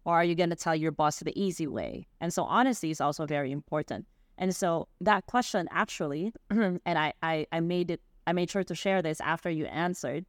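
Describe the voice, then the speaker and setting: A woman's voice: sits low, a little fast, no room sound, clean studio sound. A woman business owner, a podcast guest, explaining energetically with examples and asking "right?" often. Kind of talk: interview answers.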